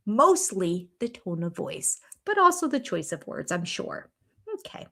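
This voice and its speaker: A woman's voice: mid-range voice, big pitch swings, a little slow, pushed and bright. An older woman hosting a casual watch-along stream, chatting about a reality show and reading viewer comments aloud. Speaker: YouTube host.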